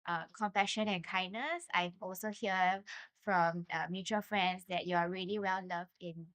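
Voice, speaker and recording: A woman's voice: fairly low pitch, fairly even intonation, normal speed, a bit bright, dry recording. Effusive woman interviewer. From Singapore. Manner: effusive, gushing with many fillers. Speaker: host, fashion brand owner. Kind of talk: casual interview.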